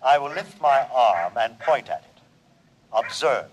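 A man's voice: mid-range voice, animated, a little slow, very noisy, natural voice. A man playing a polite, imperious robot conqueror in an old science fiction radio drama, speaking formally and precisely. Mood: calm command. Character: coldly superior humanoid robot, polite alien conqueror.

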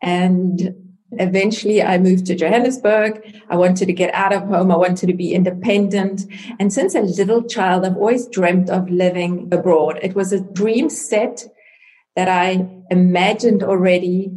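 A middle-aged woman from South Africa with Afrikaans as her first language, speaking in a low, mellow voice. She talks earnestly and easily as an interview guest, with a relaxed warmth and ready laughter. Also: measured pace. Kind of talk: faith interview chat.

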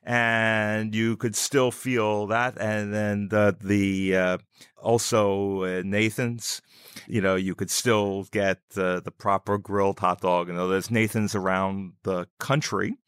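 A man with a New York accent chatting casually as an interview guest, halting and often repeating his words. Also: lowish voice, flat delivery, fairly bright tone, clean tone, no room sound, clean studio sound. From New York City, United States. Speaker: podcast guest.

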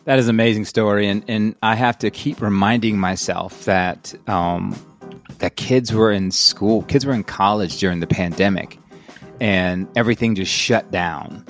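A man hosting a podcast interview, enthusiastic and quick to address the listeners directly as he prompts his guest. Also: fairly low pitch, soft background music. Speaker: podcast host.

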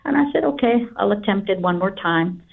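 A middle-aged woman from Guatemala talks as an interview guest in a conversational storytelling way. Her voice sits at an average pitch and comes through narrow and phone-like, a little away from the mic. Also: expressive, fairly quick, gravelly, slightly rough. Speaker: interview guest, company founder. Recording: slightly distant, narrow phone-like sound.

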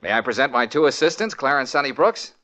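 A man's voice with a lively, expressive pitch, breezy and wisecracking as a cool private detective. He tosses off jokes even when there's danger. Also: fast talker, a little hiss or hum. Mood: wry. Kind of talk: 1940s radio acting.

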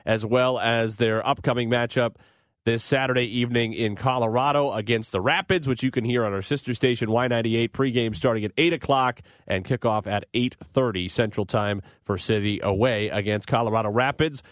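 A male radio host presents a live sports talk show. His voice sits at a medium pitch, and the sound is dry and close, with very little room around it. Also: fairly even intonation, brisk, no room sound.

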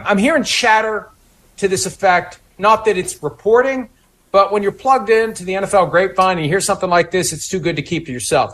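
A man's voice: high voice, a bit bright. A man talking as a sports radio guest, laying out league chatter in a confident, chatty insider tone. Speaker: NFL commentator.

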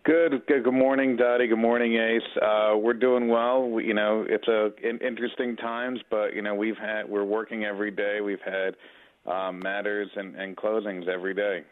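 Guy calling in on a tinny phone line, low and flat, halting. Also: no room sound. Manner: halting, with many restarts. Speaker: lawyer guest. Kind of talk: call-in guest chat.

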